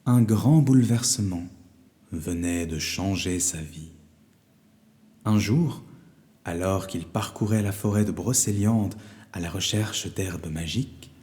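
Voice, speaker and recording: A man narrating a scripted fairy tale for children in a measured, theatrical storytelling manner. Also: low-pitched, warm tone.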